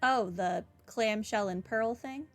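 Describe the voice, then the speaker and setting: A woman's voice: medium pitch, slow pace. A woman game streamer with a wry manner, casually chatting and reading aloud during a playthrough. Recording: game music behind.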